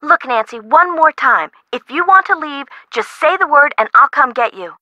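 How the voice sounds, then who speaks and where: An actress playing an excitable, worried woman homeowner in a video game, American and chatty in an emphatic way. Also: high-pitched, moderate pace, dry recording, muffled recording. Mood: concerned. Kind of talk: scripted game dialogue.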